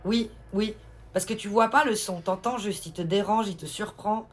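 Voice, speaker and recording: A woman's voice: mid-range voice, moderate pace. Chatty young woman streamer. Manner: chatty, teasing, thanks viewers mid-conversation. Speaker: livestream host. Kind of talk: casual chat with viewers.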